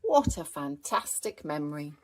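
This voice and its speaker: Woman as patient, lively gazelle schoolteacher. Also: deep voice, normal speed. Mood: encouraging. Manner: encouraging, patient, explaining. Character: kindly adult female gazelle schoolteacher. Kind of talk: children's storybook character read aloud.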